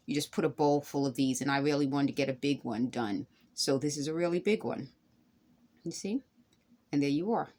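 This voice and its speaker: Lower-voiced woman giving a casual craft tutorial. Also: darkish tone, slight background noise. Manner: chatty, rambling, explains step by step. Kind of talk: casual hands-on demonstration, talking to viewers.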